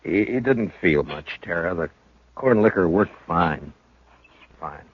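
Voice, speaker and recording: An actor playing a steady frontier marshal who also narrates, speaking low, measured and gentle in a 1950s radio drama. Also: male voice, exaggerated voice. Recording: muffled vintage radio broadcast.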